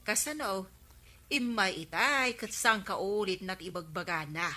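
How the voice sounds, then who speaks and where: A woman's voice: medium pitch, unhurried, pushed and bright. A woman with an Ilocano Filipino accent playing an exasperated village councilwoman in a radio comedy drama. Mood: complaining, confiding.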